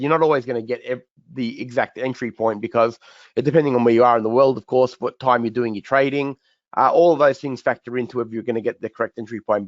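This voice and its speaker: A quick-talking man with a croaky voice hosts a live trading webinar, chatting through his charts with plenty of restarts. The audio sounds a little dull and very dry, with no room sound around him. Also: mid-range voice, no room sound. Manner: chatty, repeats words and restarts, many fillers. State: croaky, recovering voice. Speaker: trading educator hosting a webinar Q&A.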